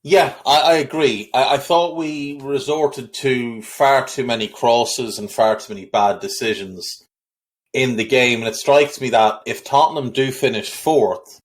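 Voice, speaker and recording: A man's voice: mid-range voice, unhurried. A man hosting a football podcast, talking in a blunt, opinionated way with frequent restarts. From Ireland. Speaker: podcast host. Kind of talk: casual football podcast chat.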